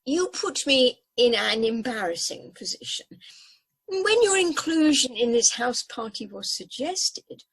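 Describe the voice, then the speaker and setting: A woman's voice: fairly high pitch, very animated, measured pace, husky, heavily acted, rough recording. A woman voicing a haughty, tactless talking house cat in a radio play, formal and drily cutting in manner. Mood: coolly disdainful. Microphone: video call. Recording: home recording over video call.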